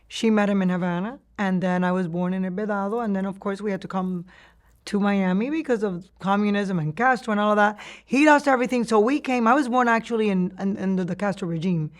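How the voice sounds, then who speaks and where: Cuban-born woman recalling her past. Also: medium pitch. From Cuba. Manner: reflective storytelling with frequent restarts and laughs. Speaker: interviewee. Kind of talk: oral history interview.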